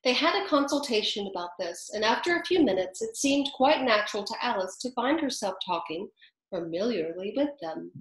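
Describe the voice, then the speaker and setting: A woman reading a storybook aloud in an unhurried, chatty way, pausing to comment. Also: on the high side. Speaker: reader. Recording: echoey room.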